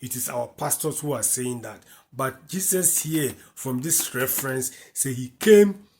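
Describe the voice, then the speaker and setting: Slow-speaking man teaching. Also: medium pitch, darkish tone, a little hiss or hum. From West Africa. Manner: reads scripture aloud, then explains it, repeating key lines. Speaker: Bible teacher. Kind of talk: slow, repetitive Bible commentary.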